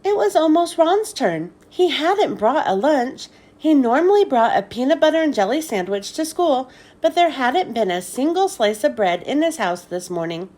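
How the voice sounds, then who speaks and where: Animated, high-voiced woman reading a storybook. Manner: expressive, deliberate storytelling delivery. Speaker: children's book read-aloud host. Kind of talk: scripted storybook narration for children.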